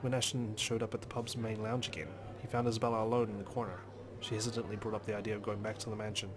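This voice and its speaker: Low-pitched man narrating a visual novel. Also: little pitch movement, quick pace, noisy background. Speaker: let's play host. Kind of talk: dramatic first-person narration of a visual novel.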